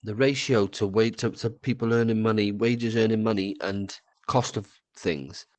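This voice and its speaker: A man's voice: on the low side, moderate pace, a little mellow, no echo. A man with a cold, co-hosting a podcast in casual chat, swearing and tripping over his words. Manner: rambling, swears, stumbles and repeats words. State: has a cold. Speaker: podcast co-host.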